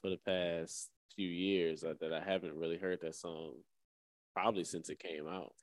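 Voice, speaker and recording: A man's voice: sits low, flat delivery, moderate pace, no room sound. An American man co-hosting a live radio show with casual, bantering talk about music.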